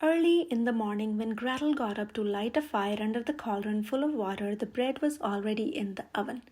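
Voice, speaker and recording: A woman with a high-pitched voice narrates a fairy tale for children. She reads it aloud as a storyteller would from a picture book. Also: a little fast, a little mellow. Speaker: storyteller, channel host.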